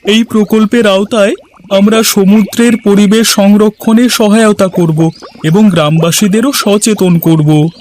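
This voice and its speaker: A man's voice: sits high, steady tone, measured pace, a little mellow, a little hiss or hum. A man playing an earnest, helpful character in a village cartoon, making formal declarations. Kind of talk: scripted cartoon dialogue.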